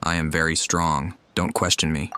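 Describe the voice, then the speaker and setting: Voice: a bass voice, level tone, normal speed, pushed and bright, a little hiss or hum. A gamer commenting on a video game playthrough, reading along in role and reacting off the cuff. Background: game music.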